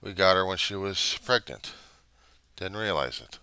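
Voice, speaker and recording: A middle-aged American man giving a casual, rambling monologue, halting as he thinks aloud in plain words. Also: deep voice, animated, bright, forceful tone, smooth, no echo. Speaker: vlogger.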